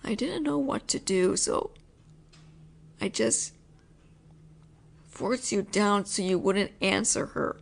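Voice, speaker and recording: A young woman voice actor in an intimate audio roleplay, playing a possessive, teasing roommate who talks low and lingers, sometimes sinking into whispers. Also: slow, vocal fry, pauses often.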